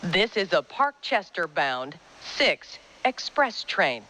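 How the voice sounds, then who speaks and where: A woman with a bright, forceful voice gives formal train announcements at a slow pace. Her intonation moves widely, and there is faint noise in the recording. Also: on the low side, very animated, poor audio. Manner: formal, deliberate. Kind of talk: scripted transit announcement.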